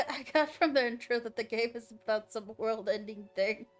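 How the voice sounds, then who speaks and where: A woman with a fairly high voice comments casually on a game she is streaming. She muses and thinks aloud as she goes. Faint music runs underneath. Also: normal speed. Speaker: game livestreamer.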